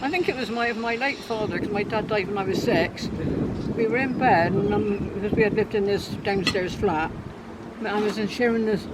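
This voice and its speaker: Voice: mid-range voice, a little fast. An older person in their eighties chatting casually and reminiscing. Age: 80s. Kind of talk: casual chat. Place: outdoor seafront cafe. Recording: very noisy outdoor recording with traffic.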